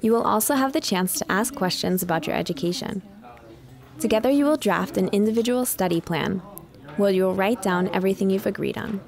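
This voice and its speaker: A woman reading a scripted informational voice-over in an even, measured way. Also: medium pitch, gravelly. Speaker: voice-over narrator and interpreter.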